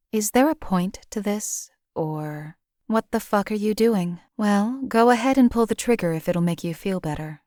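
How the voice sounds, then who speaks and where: A woman's voice: medium pitch, measured pace, dark tone, no room sound, lightly acted. A woman playing a composed female assassin for hire in a video game, speaking in a dry, matter-of-fact way. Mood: irritated, indifferent. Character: cool, lethal female assassin companion for hire. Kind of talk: video game companion voice lines.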